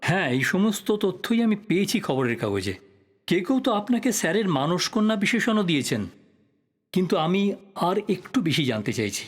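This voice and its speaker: Earnest journalist, husky, slightly high voice, lively intonation, courteous but persistent, audio drama. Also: male voice, a little fast. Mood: insistent, frustrated. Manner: polite, probing, musing to himself. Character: earnest, persistent male journalist probing his old teacher's death. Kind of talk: Bengali audio drama acting.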